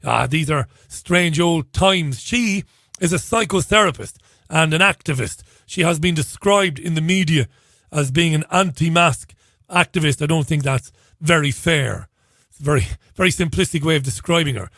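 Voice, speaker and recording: Hoarse chatty talk radio host. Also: male voice, on the high side, normal speed, fairly bright tone, no echo, studio quality. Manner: chatty, wry asides, self-interrupting. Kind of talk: casual talk radio monologue.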